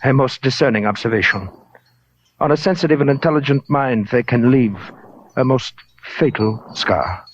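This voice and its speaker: An actor playing a huge, urbane, menacing gentleman in a radio crime drama, speaking in a florid, deliberate way. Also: medium pitch, close to an everyday voice. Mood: silky menace. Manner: florid, pompous, silky, deliberate. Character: huge, urbane, menacing gentleman collector of fake Oriental art. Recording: muffled, hissy old radio recording.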